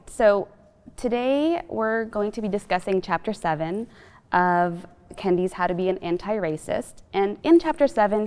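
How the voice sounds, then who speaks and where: A woman hosts a panel discussion, setting up each topic and putting questions to her guests. Her voice sits at a mid pitch and rings in the long reverb of a large reading room. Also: darkish tone. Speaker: host.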